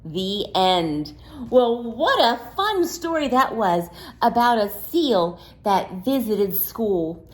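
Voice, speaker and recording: A woman's voice: medium pitch, big pitch swings, takes it slowly, low-quality recording. A woman reading a picture book aloud to children expressively, with simple questions and asides to young listeners.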